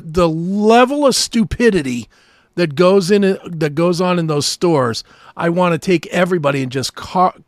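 A man's voice: a little high, no room sound, studio quality. An American man talking casually as a talk show co-host, blunt, profane and cantankerous. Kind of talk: casual chat, ranting.

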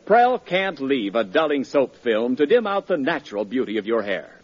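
Husky, lively male vintage radio announcer. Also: mid-range voice, normal speed. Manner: polished, emphatic sales delivery. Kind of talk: 1940s radio advertising narration. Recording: muffled, fuzzy old radio recording.

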